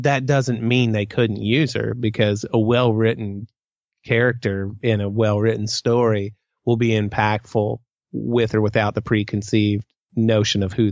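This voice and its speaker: A man's voice: on the low side, a little mellow, no echo, dull audio. A man co-hosting a podcast in casual fan discussion, thinking aloud and hedging as he goes. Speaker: podcast co-host.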